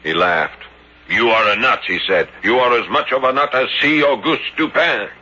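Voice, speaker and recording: A man's voice: medium pitch, moderate pace, pushed and bright, husky. A man acting an earnest, jealous young French policeman in an emphatic old-time radio drama style. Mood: earnest, indignant. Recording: dull vintage radio drama recording.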